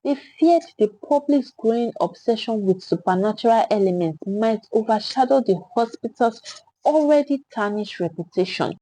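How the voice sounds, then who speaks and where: A Nigerian woman narrates a dramatic tale in a mellow, slightly husky voice. Her delivery is solemn and theatrical, shaped as scripted storytelling. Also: fairly high pitch, expressive, normal speed, low-quality recording.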